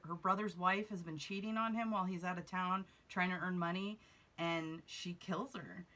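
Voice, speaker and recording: Bright-voiced laughing woman. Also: on the low side, normal speed. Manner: laughs often, relaxed storytelling. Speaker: podcast guest. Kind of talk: casual chat.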